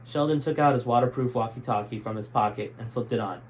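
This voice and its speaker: A man's voice: mid-range voice, quick pace, a little hiss or hum, low-quality recording. A man reading his own book aloud as scripted story narration.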